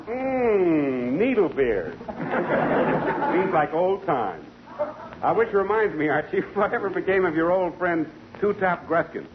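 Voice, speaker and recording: An actor playing a nosy, joking tavern customer in 1940s radio comedy style. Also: male voice, high voice, big pitch swings, slow, heavily acted. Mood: amused, curious. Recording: muffled, very noisy radio recording, audience.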